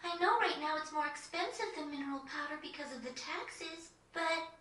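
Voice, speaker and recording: Gentle teenage girl, very high, hesitant, sincere, video game dialogue, distant roomy sound. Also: normal speed. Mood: dismayed, earnest. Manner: soft-hearted, hesitant, sincere. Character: gentle, earnest, self-doubting teenage village girl. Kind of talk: video game cutscene acting. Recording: echoey, distant game audio.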